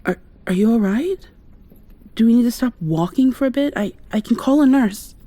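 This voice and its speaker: A woman playing a devoted, worried partner of a sick loved one, speaking tenderly and haltingly to the listener in an intimate ASMR roleplay. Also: a little high, expressive, warm tone, no room sound. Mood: concerned, caring. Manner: tender, soothing, halting and reassuring.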